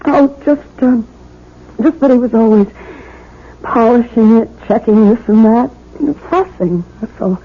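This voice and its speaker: An actress playing a fragile, anxious young bride in a 1970s radio drama, speaking in a halting, plaintive way. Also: female voice, on the high side. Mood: plaintive, insistent. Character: fragile, anxious young bride doubting her own sanity. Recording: muffled old radio broadcast.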